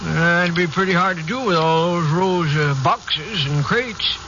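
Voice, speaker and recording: A man's voice: on the high side, a little slow. An actor in a 1950s radio drama playing a nervy, suspicious night watchman who talks in clipped, folksy working man's phrases. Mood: uneasy. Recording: dull, hissy vintage radio recording.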